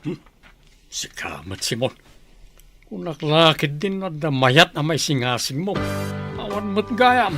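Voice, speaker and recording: A man's voice: fairly high pitch, sing-song, unhurried, long pauses, fairly bright tone, faint music behind, full character voice. A man with an Ilocano accent playing a skeptical, ambitious village farmer in a radio comedy-drama. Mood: musing, scheming.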